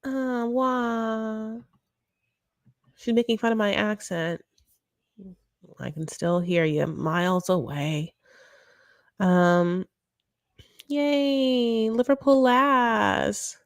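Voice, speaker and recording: A woman's voice: medium pitch, takes it slowly, gravelly, long pauses, no room sound, clean studio sound. A woman hosting a casual live recap chat, rambling and campy with lots of asides. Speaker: show recap host.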